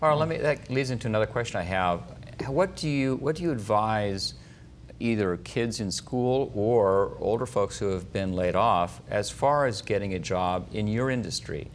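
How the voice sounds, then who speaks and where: A man's voice: on the low side, normal speed, a little hiss or hum. A man hosting an interview, asking questions and encouraging his guest with frequent affirmations. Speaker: interviewer, show host.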